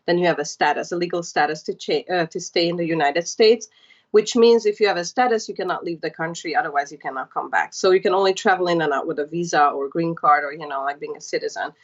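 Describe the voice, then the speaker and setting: Hungarian-born woman, lower voice, muffled audio. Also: quick pace. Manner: chatty, warm storytelling with many fillers. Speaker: podcast guest. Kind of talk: casual interview chat.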